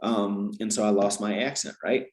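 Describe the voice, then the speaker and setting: A man's voice: fairly low pitch, normal speed, roomy sound. A man, a language teacher, explaining at length in a conversational interview, often ending points with "right?". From Georgia, US South. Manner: animated, explains with repeated "right?" tags.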